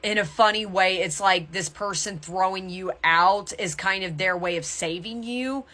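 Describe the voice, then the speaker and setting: A woman's voice: mid-range voice, normal speed, bright, forceful tone. A woman tarot reader talking casually and candidly to her viewers through an intuitive reading, with emphatic asides.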